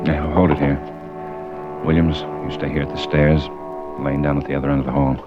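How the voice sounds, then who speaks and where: An actor playing a gruff, businesslike police detective giving clipped orders in a 1950s radio drama. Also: male voice, a bass voice, flat delivery, fairly quick, over loud music. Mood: commanding. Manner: clipped, commanding. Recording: noisy, dull vintage radio broadcast.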